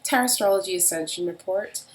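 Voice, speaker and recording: A woman reading tarot and astrology in a casual solo video, hoarse and raspy from a head cold, talking in a halting way with frequent ums. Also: low-quality recording. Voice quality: raspy, deeper than usual. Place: at home in the UK.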